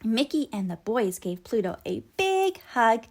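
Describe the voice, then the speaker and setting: Slow, animated woman reading a storybook. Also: a little high, faint music behind. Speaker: storybook reader. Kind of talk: expressive read-aloud narration for children.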